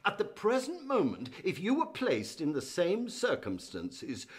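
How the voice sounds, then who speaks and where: An actor playing an insistent union barrister in a formal, accusatory courtroom radio drama. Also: male voice, high voice, huge pitch swings, a little slow, heavily acted. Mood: incredulous. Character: dogged, indignant male union barrister at a shipwreck inquiry.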